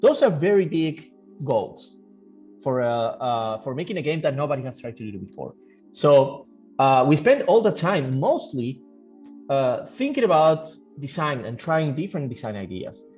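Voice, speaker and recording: A man who works as a game developer gives casual interview answers, thinking aloud haltingly and repeating phrases. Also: medium pitch, very animated, a little mellow. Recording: dull lo-fi audio, music behind. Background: background music.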